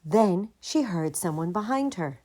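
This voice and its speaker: A woman narrating a children's picture book aloud in a gentle, deliberate manner. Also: lowish voice, expressive.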